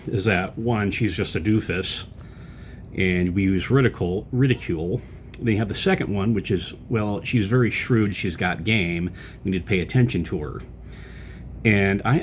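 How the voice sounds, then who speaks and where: A man's voice: lowish voice, slight background noise. A man hosting his own podcast, delivering a loose political commentary monologue full of fillers and restarts. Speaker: podcast host.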